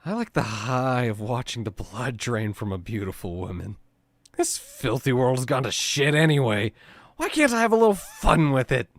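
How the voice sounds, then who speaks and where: A man voicing a sinister, jaded killer with a nihilistic streak, improvised as dark comedy roleplay. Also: medium pitch, expressive, moderate pace, lightly acted. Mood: menacing, bitter. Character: sinister, jaded male killer confessing cheerfully.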